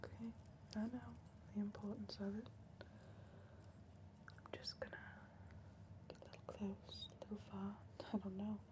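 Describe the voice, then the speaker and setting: A young woman playing a wary, bossy criminal who acts as hypnotist in a whispered ASMR roleplay, soft, slow and deliberate. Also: mid-range voice, little pitch movement, lightly acted. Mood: hypnotic, soothing. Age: young adult. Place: dim indoor room.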